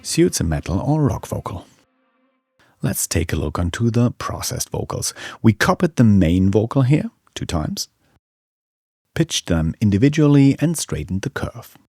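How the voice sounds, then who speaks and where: A man's voice: lowish voice, very animated, measured pace, dark tone, breathy edge, no room sound, clean studio sound. A man giving scripted tutorial narration as an audio engineering instructor.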